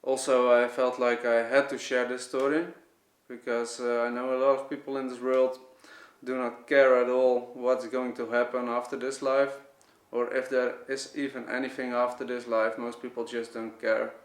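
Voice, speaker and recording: A man with a Dutch accent, a native Dutch speaker, giving an earnest, unscripted personal testimony, often halting and searching for words. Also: medium pitch, little pitch movement. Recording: echoey, roomy sound.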